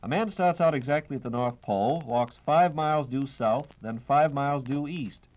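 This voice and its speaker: A man's voice: medium pitch, normal speed, a little mellow, muffled recording. An American man hosting a 1940s radio program in a formal, polished and courteous announcing style.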